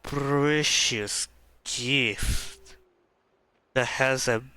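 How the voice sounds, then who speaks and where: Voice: mid-range voice, drawn-out words, pushed and bright, clean tone, no echo. A game streamer chatting casually and reacting to the story in a jokey, playful way.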